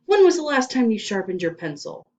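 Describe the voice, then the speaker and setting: A woman's voice: a little high, sing-song, darkish tone, distant mic, voice pushed up. Woman putting on a stern, barking male school principal. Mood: menacing. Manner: barking, intimidating, slow deliberate questioning. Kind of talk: children's storybook read-aloud.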